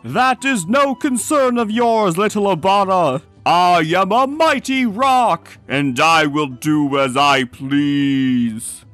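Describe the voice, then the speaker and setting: A man's voice: sits high, expressive, slow pace, few pauses, a bit bright, faint music behind, full character voice. A man voicing a huge, haughty but insecure bird in a comic dub, with formal, pompous speech. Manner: pompous, formal, turning hesitant. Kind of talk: comic dub cartoon acting.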